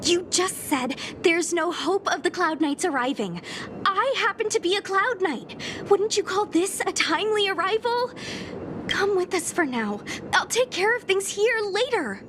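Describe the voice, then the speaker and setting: Bold young female game soldier: high, very lively delivery, brash and teasing, music underneath. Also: unhurried, noisy background. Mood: bossy, bold. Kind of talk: video game voice acting.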